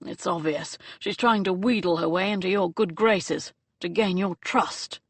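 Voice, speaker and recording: A brooding young gentleman, blunt and scornful, as a woman narrator puts him on. The voice drops lower and quieter than the narration, with clipped delivery. The recording is close and dry. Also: measured pace, no room sound. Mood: cold.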